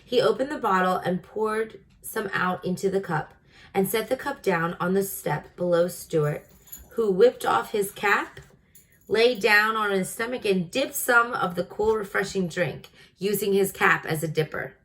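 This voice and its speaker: A woman's voice: medium pitch, a little slow, a bit bright, rough recording. A woman reading a children's story aloud, adding informal, chatty asides to her listeners.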